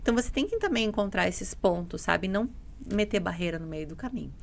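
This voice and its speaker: A woman's voice: mid-range voice, big pitch swings, quick pace, gravelly, a little hiss or hum. A woman chatting on a podcast as a guest, telling stories bluntly and emphatically, often acting out dialogue and repeating herself. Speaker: podcast guest, dental mentoring business partner. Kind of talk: casual podcast conversation, animated storytelling.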